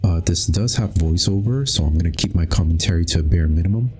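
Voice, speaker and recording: Very deep, husky male let's-play host. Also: fast, a little mellow. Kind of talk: casual, sparse gameplay commentary. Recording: game music behind the voice.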